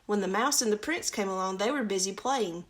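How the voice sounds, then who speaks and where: A woman's voice: medium pitch, fairly quick. A woman storyteller reads a picture book aloud for children. Her manner is chatty and informal, slipping into casual asides between stretches of narration. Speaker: storyteller reading aloud on a livestream.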